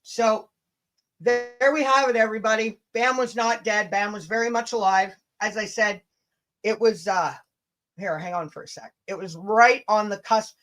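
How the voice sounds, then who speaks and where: A woman's voice: mid-range voice, fairly even intonation, normal speed, fairly bright tone. A woman hosting a casual livestream rant, blunt, combative and sweary, with chatty asides to her viewers. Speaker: livestream host.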